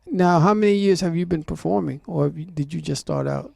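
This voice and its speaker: A high-pitched man with a gravelly, fry-heavy voice hosts a loose chat show. He rambles, cracks jokes and keeps interrupting his guests, then apologizes. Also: a little fast, a little mellow, no room sound. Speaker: talk show host.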